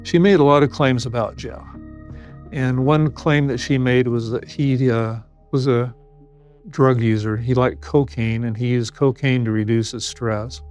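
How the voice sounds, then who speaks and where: A man's voice: mid-range voice, steady tone, unhurried, darkish tone, soft background music. Matter-of-fact American man recounting a case. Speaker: police detective interviewee.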